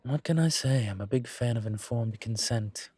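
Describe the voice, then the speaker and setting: A man playing a suave incubus demon professor in a roleplay audio drama, teasing and protective, with a wry, measured delivery. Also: medium pitch, mellow, breathy edge, no echo, close to an everyday voice. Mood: teasing, charmed.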